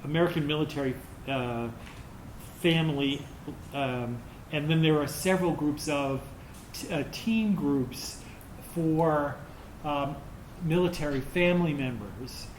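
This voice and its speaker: Mature male, slightly high voice, hesitant informal Q&A delivery, noisy room. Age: middle-aged or older. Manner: halting, searching for words. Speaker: theater director answering audience questions. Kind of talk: informal post-show Q&A. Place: roomy indoor performance space. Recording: echoey room with clear background noise.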